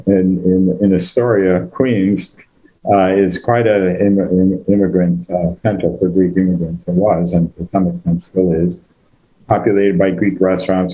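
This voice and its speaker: Older American man, very low dark voice, halting storyteller, muffled call audio. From United States. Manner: hesitant, many fillers and restarts. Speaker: guest speaker. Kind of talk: conversational personal story. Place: online video call.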